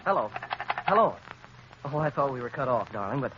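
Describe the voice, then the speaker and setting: An actor playing a carefree, dismissive fiance in an old-fashioned radio drama style. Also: male voice, medium pitch, very animated, a little fast, close to an everyday voice. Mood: breezy, reassuring. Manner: breezy, reassuring, dismissive. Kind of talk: 1930s radio acting. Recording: muffled, noisy 1930s radio recording.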